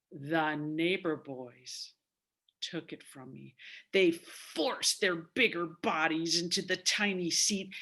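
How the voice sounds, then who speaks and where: A woman in her sixties telling a story theatrically, with deliberate, dramatic emphasis. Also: low voice, bright, forceful tone. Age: 60s. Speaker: storyteller at a speaking club.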